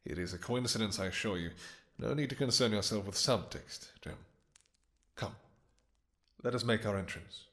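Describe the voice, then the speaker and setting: A man playing a possessive, lovestruck vampire king in a romantic fantasy audio roleplay, speaking in formal courtly phrases with halting pauses. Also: fairly low pitch, a bit bright. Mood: regal, gracious.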